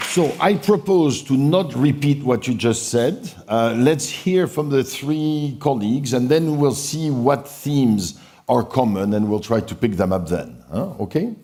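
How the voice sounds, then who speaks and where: A man's voice: mid-range voice, lively intonation, unhurried, dark tone, a faint crowd. A man moderating a panel discussion, steering the talk between the speakers.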